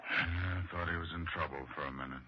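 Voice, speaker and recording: An American actor playing a restless, irritable young husband and former flyer in a 1960s radio drama, brooding and curt. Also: male voice, low voice, fairly even intonation, fairly quick, natural voice. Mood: tense, curt. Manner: hard-boiled, brooding, curt. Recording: dull old 1960s radio recording with music.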